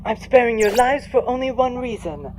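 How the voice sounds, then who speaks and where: A woman playing a calm, resolute traitor to a space crew, serious and restrained. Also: a little high, normal speed, background noise, lightly acted. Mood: cold, regretful. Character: cool, resolute female betrayer of a space crew.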